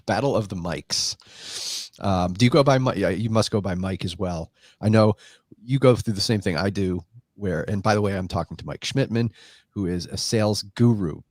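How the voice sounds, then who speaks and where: A man's voice: on the low side, a little mellow, no echo, clean studio sound. A man hosting a live podcast with a congested, stuffy voice from a head cold, talking in a chatty, rambling way. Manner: chatty, rambling, self-interrupting. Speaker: podcast host. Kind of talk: casual live podcast chat. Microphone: desk microphone on a live stream.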